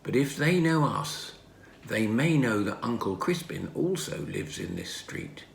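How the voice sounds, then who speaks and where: A man's voice: mid-range voice, big pitch swings, a little slow, creaky. A man voicing a thoughtful, observant boy in a children's storybook, earnest and emphatic. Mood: wary. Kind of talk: children's storybook character reading. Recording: roomy home recording, faint noise.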